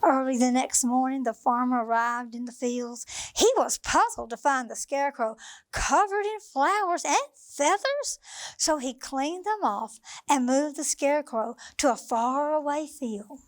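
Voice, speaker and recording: A woman reads a bedtime picture book to young children in a high voice. She goes very slowly, with an expressive, sing-song lilt. The sound is dry and close, with very little room. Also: no echo. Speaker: children's librarian storyteller.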